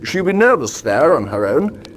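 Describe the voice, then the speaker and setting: An actor playing an outwardly courteous, nervy villain, polite and solicitous, in a radio drama. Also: male voice, on the high side, sing-song, moderate pace, slight background noise. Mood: falsely solicitous.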